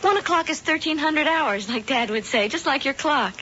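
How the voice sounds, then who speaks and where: Trusting eighteen-year-old small-town girl, higher voice, muffled old radio sound. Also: animated, normal speed, sharp tone. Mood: naive. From small-town Ohio. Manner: sweet and trusting, easily charmed. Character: naive sheltered young country girl. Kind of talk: 1970s radio drama acting. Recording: dull old radio broadcast with faint hiss.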